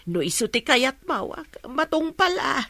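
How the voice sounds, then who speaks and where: A woman's voice: high voice, sing-song, measured pace, sharp tone, no room sound, exaggerated voice. A woman playing an affectionate, fretful elderly mother in a radio drama, speaking with a Filipino Ilocano accent. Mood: doting, hesitant.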